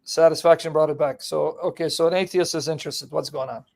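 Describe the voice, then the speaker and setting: A man's voice: a little high, fairly even intonation, fast talker, gravelly, a little mellow. A man hosting a debate, brash and mocking, firing questions at a caller. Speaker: host. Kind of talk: combative debate talk.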